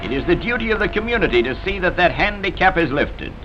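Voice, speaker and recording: A man's voice: sits high, sing-song, quick pace, noisy background. A man narrating a scripted vintage newsreel in a solemn, dramatic, oratorical manner. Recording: dull vintage newsreel soundtrack.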